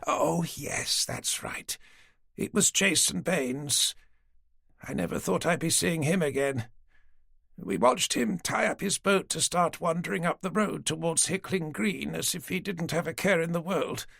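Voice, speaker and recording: A woman's voice: low-pitched, unhurried, creaky, fairly bright tone, slightly rough, no echo, clean studio sound, voice pushed up, exaggerated voice. A man reading an audiobook, voicing a frail, forgetful elderly woman who was once a nurse, in a genteel, rambling manner. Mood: chatty. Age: older. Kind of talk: audiobook character voice.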